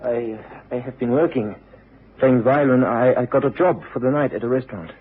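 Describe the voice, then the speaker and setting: An actor playing an evasive, nervous violinist who speaks haltingly in a radio drama. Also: male voice, mid-range voice, natural voice. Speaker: supporting actor. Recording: noisy, tinny old radio recording.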